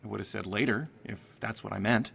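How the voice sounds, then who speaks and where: An actor playing an arrogant, impatient rich boss in an audio drama, with a curt, dismissive American delivery. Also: male voice, lowish voice, fairly quick, creaky, a bit bright, slightly rough, heavily acted. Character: arrogant, impatient wealthy boss who hates being contradicted.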